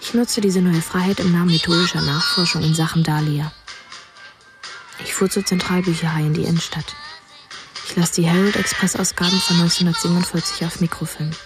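A hushed, detached boy narrator voiced by a woman tells of his morbid obsession in a radio drama. The voice sits fairly low for a female one, with music playing underneath. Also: steady tone, fairly quick, natural voice. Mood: obsessive recollection. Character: writer's boyhood self, obsessed with murder, narrating.

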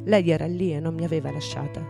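Low-voiced grieving rock singer. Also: female voice, steady tone, fast talker, vocal fry, over music. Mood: tender. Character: grieving middle-aged female rock singer recalling lost loves. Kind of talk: dramatic radio theatre monologue over music.

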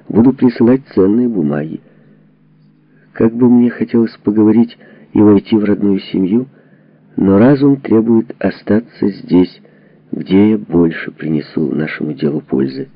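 Guy narrating a history audiobook, lowish voice, formal and measured, kinda muffled lo-fi sound. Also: no echo. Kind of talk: scripted narration of a historical book, reading letters and documents.

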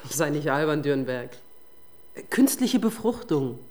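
Eine Schauspielerin spielt in einem Krimihörspiel eine beharrliche Kriminalbeamtin, trocken, knapp und leicht spöttisch. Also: female voice, deep voice, big pitch swings, measured pace, a little mellow. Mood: curt, probing. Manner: dry, curt, sardonic. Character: dry, persistent female police detective. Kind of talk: crime radio drama acting.

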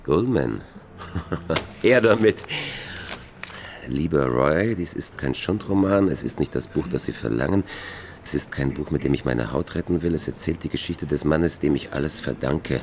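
An actor playing a gruff, impatient publishing boss in a German radio drama. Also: male voice, rumbling low, lively intonation, normal speed, darkish tone, slight background noise. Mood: brusque.

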